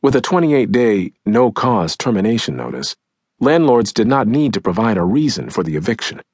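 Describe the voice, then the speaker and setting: A man narrating a nonfiction audiobook in a measured, deliberate, dramatic way, with a husky voice, recorded close in a dry studio. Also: fairly low pitch, sing-song, a little mellow, no room sound. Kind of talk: scripted nonfiction audiobook narration, acting out quotes.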